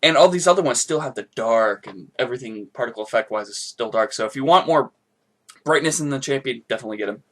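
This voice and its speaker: Regular guy voice, middle pitch, rambling along casually on a gaming podcast. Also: animated, fast, a bit bright. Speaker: podcast co-host.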